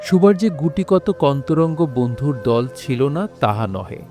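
A man narrating a literary audio drama in a measured, poetic recitation. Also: fairly high pitch, expressive, music in the background.

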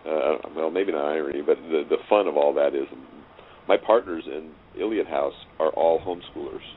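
A middle-aged man with an extremely low voice talks as an interview guest. He is thoughtful and halting, often restarting a sentence. The sound is a narrow, phone-like line with some noise. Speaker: interview guest, radio drama writer. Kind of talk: casual reflective interview. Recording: noisy, muffled phone-like line.

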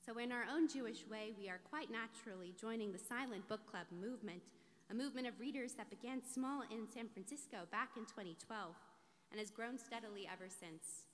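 A woman rabbi delivering a scripted sermon with measured, deliberate phrasing in a large sanctuary. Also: on the high side, a bit bright. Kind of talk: scripted sermon, read from a lectern. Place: large synagogue sanctuary, indoors. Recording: reverberant large hall.

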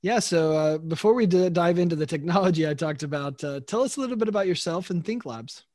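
A man's voice: a little high, fast, darkish tone, dry recording, studio quality. A middle-aged man hosting an interview over a video call, upbeat and friendly. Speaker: host.

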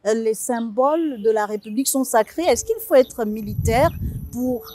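A woman's voice: on the high side, moderate pace, darkish tone. A young woman hosting a televised panel, asking questions in a formal, poised way from a studio. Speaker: host. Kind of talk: tv panel moderating.

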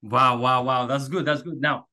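A man in his thirties hosts a coaching interview over a video call. His mid-pitched voice is bright and pushing, and he stresses his points emphatically, often repeating a word. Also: fairly even intonation, normal speed. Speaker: host, job coach. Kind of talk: interview hosting, coaching talk.

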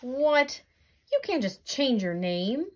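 A woman's voice: high-pitched, sing-song, slow, full character voice. A woman voicing an anxious, exasperated jellyfish, fretful and incredulous, in a picture-book read-aloud.

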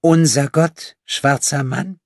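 An audiobook nun, prim and righteous, played by a male reader. The voice is pitched well above his own and a little breathy, with a pointed, defensive edge. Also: steady tone, slow pace, dry recording, clean studio sound. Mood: sharp, offended. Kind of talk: audiobook character acting.

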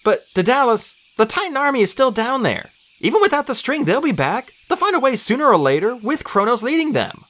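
A man's voice: very high-pitched, big pitch swings, fairly quick, few pauses, a bit bright, pitched above the performer's own voice, heavily acted. A man voicing an earnest, anxious teenage boy hero in a storybook. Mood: worried.

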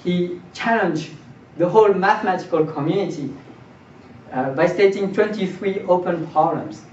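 A man's voice: high-pitched, moderate pace, a little mellow. Young guy teaching a seminar, asks questions as he goes, echoey room, kinda muffled recording w a bit of noise. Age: young adult. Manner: rhetorical questions, checks in with listeners. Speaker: lecturer. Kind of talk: informal seminar lecture on mathematics. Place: small indoor seminar room. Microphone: far camera. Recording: distant roomy mic, dull, faint noise.

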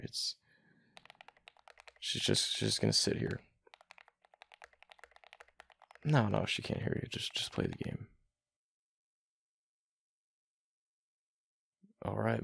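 Deep, gravelly young gamer boyfriend, played by a man. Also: full character voice. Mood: busy, bantering. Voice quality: deep, gravelly, breathy murmur at times. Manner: halting, sighing, slangy. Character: young gamer boyfriend, reluctant but affectionate. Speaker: voice actor in boyfriend roleplay audio. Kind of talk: ASMR boyfriend roleplay, casual chat.

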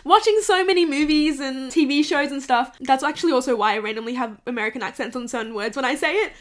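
A woman's voice: high voice, fast, a bit bright. A woman, talking candidly and swearing freely in a bedroom to her camera. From Hong Kong. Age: 20s. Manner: candid, swears freely, laughs through emotional bits. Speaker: vlogger. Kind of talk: casual confessional chat to camera.

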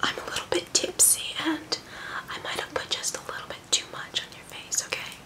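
Woman playing a whispering, vain, tipsy rich mom. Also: lightly acted. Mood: flattering, tipsy. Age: young adult. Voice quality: breathy whisper.

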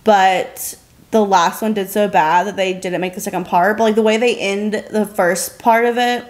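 A young American woman with a medium-pitched voice chats as a podcast co-host. Her delivery is informal and conversational, like talk between friends. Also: fairly even intonation, normal speed. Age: 20s. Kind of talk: casual bookish chat. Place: living room couch, indoors.

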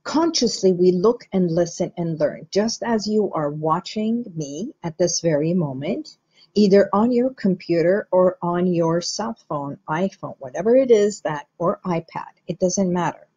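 A middle-aged woman from Iran, a hypnotherapist, explaining the mind to viewers in a slow, measured, guiding way. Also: on the low side, expressive, warm tone, slightly rough, highs cut off. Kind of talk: teaching talk to camera.

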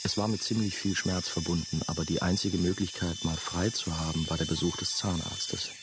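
An actor playing a brooding, haunted former novice, confiding his story in measured, terse radio drama narration. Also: low voice, steady tone, heavy background noise, natural voice. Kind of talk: first-person radio drama monologue.

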